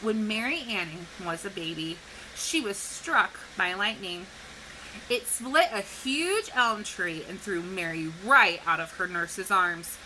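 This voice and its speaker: A woman speaking like a teacher to young children, explaining things clearly and posing questions in an educational read-aloud. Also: medium pitch, expressive, slow pace, pushed and bright, a little hiss or hum, rough recording. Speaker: teacher reading a picture book to children.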